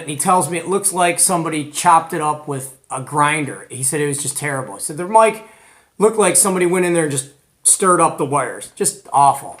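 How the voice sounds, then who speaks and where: An American man hosting a hobby video in a casual, animated, colloquial way, retelling conversations as he goes. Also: fairly high pitch. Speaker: host of a CB radio show. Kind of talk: casual hobby vlog presenting.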